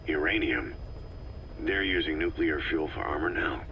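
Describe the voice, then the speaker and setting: An actor playing a terse, stern, battle-hardened military boss in a video game, gruff and gravelly, in short clipped replies. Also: male voice, very deep voice, lively intonation, moderate pace, highs cut off, a little hiss or hum. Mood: terse, questioning. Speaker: military commander.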